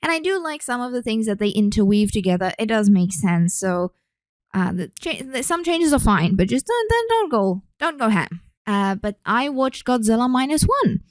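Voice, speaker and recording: A woman's voice: a little high, sing-song, normal speed, no echo. Chatty woman, dry close sound. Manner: chatty, rambling, with frequent restarts. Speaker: podcast host. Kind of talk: casual solo podcast chat.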